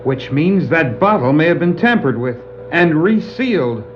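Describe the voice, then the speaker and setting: An actor playing an eager, earnest young superhero sidekick with keen, emphatic delivery on a 1960s adventure record. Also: male voice, fairly high pitch, very animated, unhurried, slight background noise. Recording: dull 1960s vinyl record dramatization.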